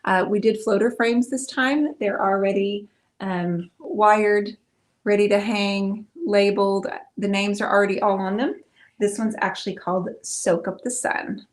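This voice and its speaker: A middle-aged woman hosting a live painting stream, chatting casually and warmly with viewers, with frequent "um"s. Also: medium pitch, steady tone, a little slow. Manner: chatty, friendly, talks to viewers with many fillers.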